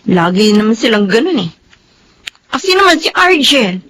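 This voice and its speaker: High-voiced, plaintive young pregnant woman. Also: very animated, normal speed, fairly bright tone. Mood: sleepy, grumbling. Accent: Filipino. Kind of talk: Filipino radio drama acting.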